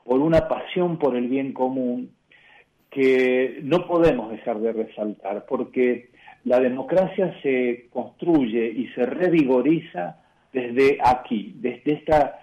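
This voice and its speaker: A man with an Argentine accent, a bishop answering questions in a radio interview, measured and reflective, often pausing and restarting. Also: medium pitch, lo-fi sound. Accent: Argentine Spanish.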